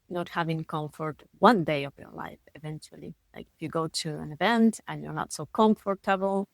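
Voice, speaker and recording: Argentine woman, spanish is her first language, hosting a podcast, kinda low voice, dry close mic. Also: vocal fry, no room sound. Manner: thoughtful, earnest, with slow deliberate stresses. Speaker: podcast host. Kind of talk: reflective solo podcast talk.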